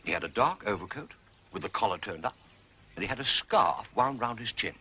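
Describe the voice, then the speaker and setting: A deferential manservant in a period drama. His voice is fairly low yet bright, with very lively intonation over faint background noise. He is formal and precise, with dry theatrical relish. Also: fairly quick. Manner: formal, methodical, dryly theatrical. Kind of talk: British television period drama acting.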